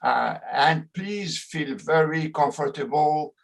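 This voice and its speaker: A middle-aged man with a French accent, a sociologist giving an online lecture, halting often with fillers and restarts. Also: fairly high pitch, creaky.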